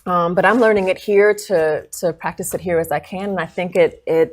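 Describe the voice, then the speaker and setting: A woman's voice: on the low side, fairly even intonation, brisk. A woman in her thirties from Chicago, a guest giving interview answers in a reflective way and often ending with "right?". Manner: reflective, frequent tag "right?". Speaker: guest, consultant.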